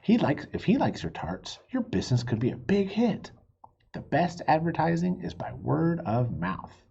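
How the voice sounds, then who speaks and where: A man reading the part of a supportive, thoughtful mother in a children's storybook, gentle and reasoning. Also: a little high, big pitch swings, normal speed, creaky, breathy edge, dull audio, voice pushed up, exaggerated voice. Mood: encouraging.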